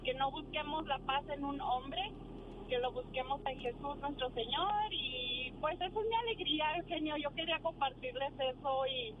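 Middle-aged woman caller, high-pitched, earnest and devout, heard over a dull phone line with car noise. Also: moderate pace. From Juárez Valley, Mexico, born in Texas. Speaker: radio show caller. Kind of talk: casual testimony on a call-in. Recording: noisy muffled phone call, car ambience.

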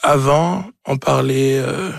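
A man from Haiti with a Haitian accent and Creole as his first language, a novelist speaking haltingly as he searches for words. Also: medium pitch, creaky, no echo, clean studio sound. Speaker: novelist being interviewed.

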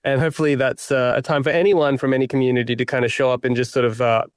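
Give me a smooth-voiced young Australian man. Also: mid-range voice, flat delivery, racing, dry recording, studio quality. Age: young adult. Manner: reflective and self-deprecating, many fillers and restarts. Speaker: playwright guest. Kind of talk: interview.

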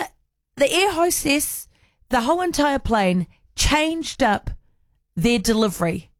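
A woman's voice: fairly high pitch, dry recording, clean studio sound. Enthusiastic New woman radio host. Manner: enthusiastic, gushing shout-outs. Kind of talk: casual radio chat.

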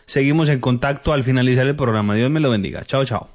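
A man gives spiritual advice as a radio host on a live call-in. His pitch is middling, and he speaks familiarly, like to a friend, repeating points and asking pointed rhetorical questions. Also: quick pace. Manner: addresses listener familiarly as friend, rhetorical questions, emphatic repetition. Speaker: radio host, card reader and folk healer.

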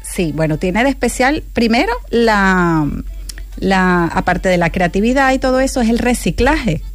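A woman from Venezuela in her thirties, talking as a guest about her handmade craft business in a lively, repetitive way. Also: medium pitch, a little slow, no echo, faint music behind. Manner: lively, repeats words for emphasis. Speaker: guest, piñata maker. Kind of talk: casual radio chat.

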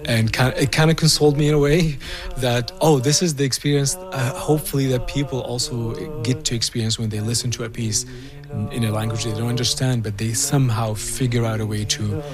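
A man speaks thoughtfully in a mid-range voice, occasionally searching for words. Clear music runs beneath him throughout. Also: mid-range voice, fairly even intonation, brisk. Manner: reflective, hesitant with fillers. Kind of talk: interview reflection. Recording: music playing under the voice.

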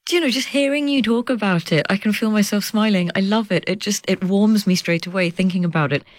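A woman in her forties, a journalist being interviewed, talking animatedly with laughs and lively swings in pitch. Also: fairly quick, no echo. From United Kingdom. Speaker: journalist and author guest. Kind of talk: conversational interview.

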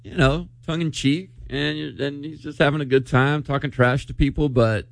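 A man's voice: on the high side, steady tone, moderate pace, gravelly, dry recording. A man hosting a talk show, speaking in casual, chatty radio banter.

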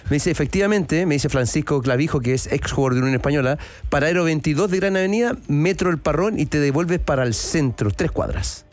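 A man's voice: fairly high pitch, a little fast, few pauses, no room sound. A man with a Chilean accent passing on information and chatting casually as a sports show's statistician. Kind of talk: casual radio chat and information reading.

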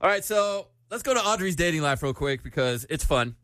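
A man's voice: a little high, expressive, a little fast, fairly bright tone, no echo, studio quality. A middle-aged man hosting a radio show, chatting casually and telling stories with frequent laughs. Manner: chatty, laughs often, storytelling. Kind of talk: casual morning show banter.

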